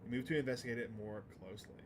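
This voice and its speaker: A man's voice: mid-range voice, rapid-fire. Chatty male game streamer, noisy recording. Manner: chatty, addresses stream chat. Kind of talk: live let's-play commentary mixed with reading game text aloud.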